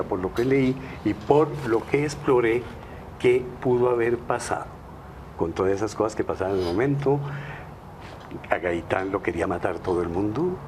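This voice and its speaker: A man's voice: lowish voice, a little mellow, slight background noise. Emphatic male novelist on a panel. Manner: emphatic, lists words in rhythmic series. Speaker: novelist guest. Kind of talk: studio panel discussion.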